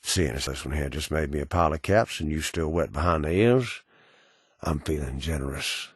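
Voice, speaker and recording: Cynical undead gunslinger, low voice, Southern American drawl, sardonic, menacing, dry close recording, video game dialogue. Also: male voice, normal speed, husky, dry recording. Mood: menacing, bored. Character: cynical menacing undead gunslinger bounty hunter, male ghoul.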